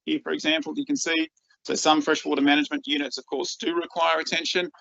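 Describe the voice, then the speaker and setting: A man's voice: on the high side, little pitch movement, fast, vocal fry, sharp tone, no room sound, lo-fi sound. A man with an Australian accent giving a conference talk as a researcher, enthusiastic and full of ums and repeated words. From Australia, rural northeast Victoria.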